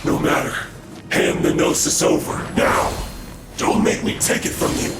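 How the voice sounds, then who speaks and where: Guy voicing a menacing transformed villain in a game, commanding, echoey and far off, loud noise. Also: measured pace, over loud music, natural voice. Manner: threatening, commanding. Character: menacing male villain in monstrous transformed state, demanding. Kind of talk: video game voice acting. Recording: echoing, distant, very noisy game audio.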